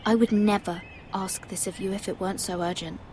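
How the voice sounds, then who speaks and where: A woman's voice: medium pitch, fairly even intonation, fairly quick, a little mellow, slight background noise, close to an everyday voice. A woman playing an earnest young noble handmaiden in a video game, speaking politely and carefully, with anxious deference. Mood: anxious, pleading. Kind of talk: cinematic video game voice acting.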